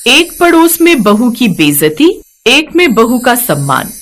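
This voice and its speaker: A woman's voice: a little high, big pitch swings, measured pace. A woman reading scripted narration for a moral story as its narrator.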